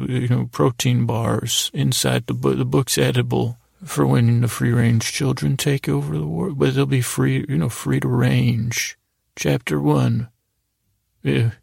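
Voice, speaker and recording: Creaky-voiced man rambling through a bedtime podcast. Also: mid-range voice, dry recording. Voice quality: gravelly, creaky voice. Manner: meandering, halting, repeats and digresses, gently absurd. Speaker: podcast host.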